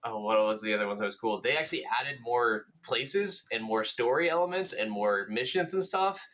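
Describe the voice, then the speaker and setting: A man's voice: medium pitch, lively intonation, moderate pace, fairly bright tone. A man co-hosting a gaming podcast, talking in a casual, rambling, enthusiastic way with the odd swear word. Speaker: podcast co-host.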